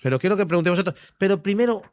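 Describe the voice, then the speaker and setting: A man's voice: sits high, lively intonation, rapid-fire, darkish tone. A man with a Castilian Spanish accent moderating a casual interview in an informal, rambling, bantering way. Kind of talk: casual unscripted chat.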